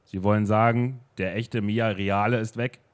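A self-assured male detective with a low, clipped way of speaking, as a man puts him on. He answers in short, dry phrases. This is an improvised audio drama. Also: steady tone, moderate pace, close to an everyday voice. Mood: brisk. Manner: curt, clipped replies.

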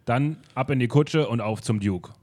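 A man voicing a male detective who talks in a brisk, businesslike way. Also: medium pitch, darkish tone, lightly acted.

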